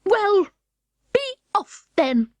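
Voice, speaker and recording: Storybook pigeon: very high-pitched, swooping, contemptuous and sulky, quick to argue. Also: female voice, big pitch swings, no echo, full character voice. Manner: contemptuous, emphatic, argumentative. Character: shrill, indignant mother pigeon, scornful and sulky.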